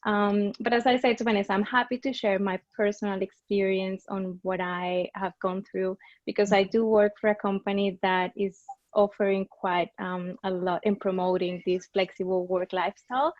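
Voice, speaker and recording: A woman's voice: medium pitch, flat delivery, moderate pace, a little mellow, no room sound. A woman with a Colombian Spanish accent talks in a friendly, open way as a panel member. Her voice sits in a middle range and comes through a dry, dull video-call connection. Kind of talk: panel discussion, personal story.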